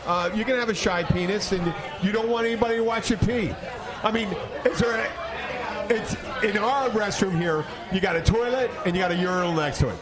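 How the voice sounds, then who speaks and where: Male bartender-host type, high voice, animated and jokey, swears freely, swapping stories amid crowd noise. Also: brisk. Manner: animated, jokey, profane, often laughing. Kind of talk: casual bar banter and storytelling. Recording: noisy bar crowd behind.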